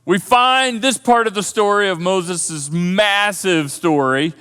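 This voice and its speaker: Male pastor, lively storytelling sermon, bright tone, long church-hall reverb. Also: sits high, slow pace, clean tone. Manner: animated storytelling, thinks aloud with repeated words. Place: church auditorium.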